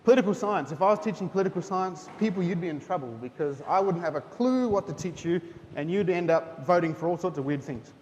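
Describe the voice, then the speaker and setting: New man in his thirties, higher voice, chatty, keeps saying okay, long hall echo. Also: animated, fast, few pauses, a little mellow. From New Zealand. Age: 30s. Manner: chatty, asks "okay?" often. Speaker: guest speaker, teacher. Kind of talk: school chapel testimony. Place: school hall. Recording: hall reverb, faint rumble.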